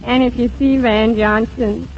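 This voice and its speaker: An actress playing a literal-minded, self-deluded housemaid in a 1940s radio sitcom, deadpan and guileless. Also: female voice, on the high side, unhurried. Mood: resigned, dreamy. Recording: muffled old radio recording.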